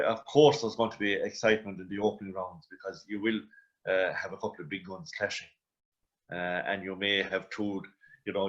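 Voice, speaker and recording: A man giving measured sports analysis on the radio, often saying "you know". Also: lowish voice, fairly bright tone. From Ireland. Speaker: sports journalist, panel guest. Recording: dull lo-fi radio audio.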